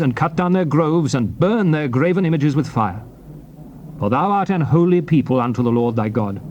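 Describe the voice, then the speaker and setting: An actor playing a stern prophet exhorting his people in solemn, declamatory, deliberately phrased King James scripture on a noisy old cassette. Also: on the high side, darkish tone. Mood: solemn, exalted.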